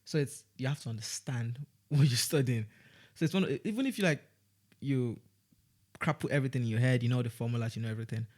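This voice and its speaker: A man's voice: mid-range voice, fast talker, darkish tone. A young man from Nigeria with a Nigerian accent, a student guest on a podcast, talking casually and rambling with many restarts. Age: young adult. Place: podcast studio.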